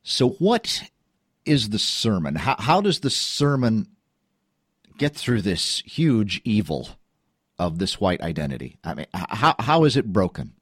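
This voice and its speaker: A man hosting a radio interview show, asking halting, searching questions. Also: on the low side, big pitch swings, breathy edge, no echo, studio quality.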